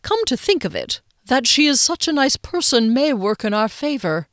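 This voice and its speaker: A woman voicing a timid, fussy grown man who whimpers and frets in a high, whining voice. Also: big pitch swings, moderate pace, dry recording, clean studio sound. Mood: perked up.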